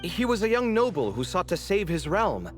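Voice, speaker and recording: A woman playing a sardonic, inquisitive young adventurer in a video game, with a dry, expressive delivery. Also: on the low side, normal speed, music in the background. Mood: curious. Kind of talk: video game character dialogue.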